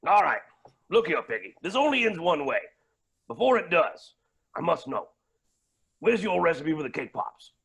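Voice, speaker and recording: Unhinged billionaire villain, high bright creaky voice, grandiose, swinging delivery, stage comedy. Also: male voice, sing-song, moderate pace, pauses often, heavily acted. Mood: mocking menace. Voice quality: raspy, creaky. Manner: theatrical, grandiose, swinging from mutters to shouts. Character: flamboyant villainous male billionaire, menacing and unhinged. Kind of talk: staged play reading.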